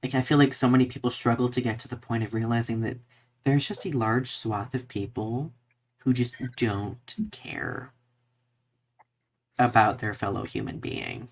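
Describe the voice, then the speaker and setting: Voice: mid-range voice, animated, normal speed, slight background noise. A podcast co-host chatting through current events on a live show in a conversational, informal way.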